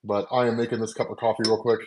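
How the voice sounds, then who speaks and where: A man's voice: lowish voice, flat delivery, very fast, background noise. A man in his forties chatting casually with viewers on a live stream, talking loosely with lots of fillers and laughs, into wireless earbuds. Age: 40s. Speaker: comics channel host. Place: at home making coffee.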